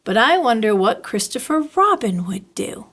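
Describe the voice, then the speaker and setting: Lady reading as tiny worried piglet from a kids book, fretful n timid, pitch goes up and down a lot. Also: sing-song, normal speed, a bit bright, full character voice. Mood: musing. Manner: wistful, fretful. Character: small, timid, anxious young piglet, male storybook animal. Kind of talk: storybook read-aloud.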